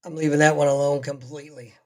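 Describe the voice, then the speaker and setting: A man co-hosting a casual podcast, with a voice worn rough from a week of yelling. He pitches a little high for a man and talks in blunt, wry asides. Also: level tone, quick pace. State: voice strained from yelling. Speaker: podcast co-host. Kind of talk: casual chat.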